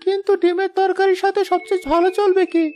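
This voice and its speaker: A woman's voice: extremely high pitch, flat delivery, quick pace, darkish tone. A woman voicing an earnest, caring schoolboy from a poor village in a moral story cartoon. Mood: keen. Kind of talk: scripted children's moral story cartoon dubbing.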